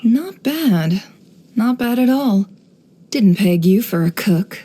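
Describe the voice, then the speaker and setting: A woman voicing a cool, shrewd, guarded young woman in a video game, composed and wry, with measured, knowing delivery. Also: fairly high pitch, lively intonation, dark tone. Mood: impressed, teasing. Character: cool, shrewd, guarded young desert-born woman running an information business. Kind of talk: scripted game character voice lines.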